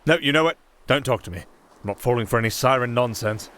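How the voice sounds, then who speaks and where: A man's voice: mid-range voice, a little fast, a bit bright, natural voice. A man acting a nervous, rambling young castaway musician with a sardonic streak, in a fantasy ASMR roleplay. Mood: wary, sardonic.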